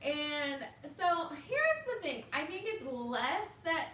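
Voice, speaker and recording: A young woman in her twenties co-hosting a casual live chat, talkative and quick to laugh. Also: sits high, sing-song, sharp tone, a little hiss or hum. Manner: chatty, laughs often. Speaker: co-host. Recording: echoey room, mic far off.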